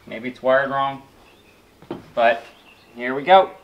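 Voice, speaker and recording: A man in his thirties talks his way through a repair job in an open metal barn, half muttering to himself, half to the camera. His voice sits at an ordinary middle pitch. A faint hiss of noise runs underneath. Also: slow pace, lo-fi sound. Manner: muttering, self-narrating while working. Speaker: restoration vlogger. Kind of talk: casual hands-on narration.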